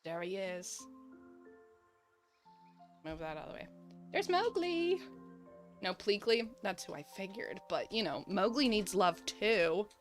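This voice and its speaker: Slow-paced woman streaming a game. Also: medium pitch, very animated, faint music behind. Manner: chatty, thinks aloud while playing. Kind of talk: casual live gaming commentary.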